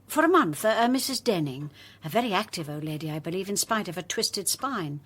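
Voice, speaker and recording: An actress playing a brisk, analytical woman investigator in a radio drama, breathy and precise in delivery. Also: lowish voice, animated. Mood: pondering.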